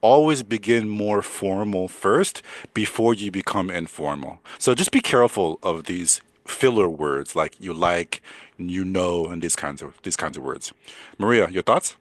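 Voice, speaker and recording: An older man with a deep voice teaching English in a chatty way, repeating words and laughing often. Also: animated, a little slow, no echo. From United States. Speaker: English coach. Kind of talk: casual teaching conversation.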